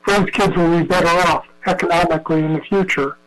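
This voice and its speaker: A male professor explaining his research in a measured way over a video call. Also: a little high, steady tone, fairly bright tone, rough recording. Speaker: professor and invited expert.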